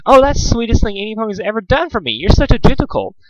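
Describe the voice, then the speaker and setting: A man's voice: high voice, sing-song, quick pace, a little hiss or hum, pitched above the performer's own voice. A man voicing a vain, ladylike female cartoon unicorn with gushing, theatrical refinement. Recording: dull lo-fi home recording.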